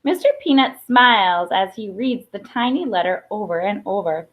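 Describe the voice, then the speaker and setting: A woman reading a children's picture book aloud expressively, with pauses for emphasis and small asides. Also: medium pitch, expressive. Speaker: storybook reader. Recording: dull, lo-fi sound.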